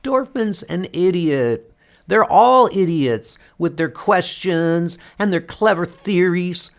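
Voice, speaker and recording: A man's voice: high-pitched, slow, pitched above the performer's own voice. A man voicing a troubled, bitter teenage girl who hears the dead, in a comic book dub. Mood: angry, bitter. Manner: bitter, defensive.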